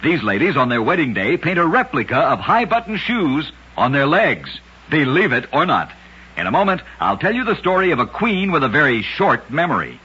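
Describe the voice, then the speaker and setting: A man hosting and narrating short scripted oddity stories on an old-time radio show, telling them briskly and emphatically with punchy endings. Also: fairly high pitch, big pitch swings, sharp tone, husky. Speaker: radio host and storyteller. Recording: lo-fi vintage radio recording.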